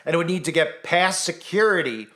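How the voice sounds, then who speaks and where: This is a man on a commentary monologue, his voice sitting a little higher than most men's. He talks insistently and rhetorically, stacking up questions and "right?" to press his point. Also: expressive, a little fast, bright, forceful tone. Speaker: commentary channel host.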